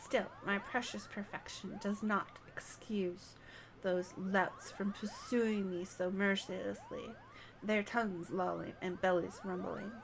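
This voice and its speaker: A woman's voice: mid-range voice, steady tone, unhurried, vocal fry, crowd noise, rough recording. A woman reading aloud the game dialogue of a vain, flowery little moogle with pompous, ornate phrasing. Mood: vain, smug.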